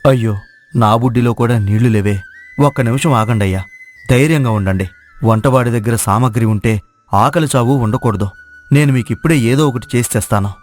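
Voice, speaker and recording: A man's voice: medium pitch, fairly quick, close to an everyday voice. A man playing a humble, kind-hearted village cook in a moral story, speaking earnestly and plainly. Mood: concerned. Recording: dry studio voice over music.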